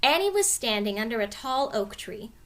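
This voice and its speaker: A woman reading a children's storybook aloud as narrator, with expressive delivery. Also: on the high side, moderate pace. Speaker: story reader.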